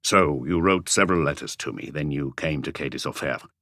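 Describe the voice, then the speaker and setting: Man playing a low-voiced, patient police inspector. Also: sharp tone, no echo, clean studio sound, heavily acted. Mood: patient, questioning. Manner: measured, low-key questioning. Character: calm, patient male police inspector, probing, weary. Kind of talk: audiobook character dialogue.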